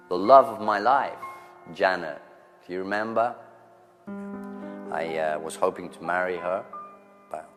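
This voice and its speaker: A man's voice: sits low, in a large hall. A man voicing a self-pitying, lovelorn male lounge singer with a droopy croon.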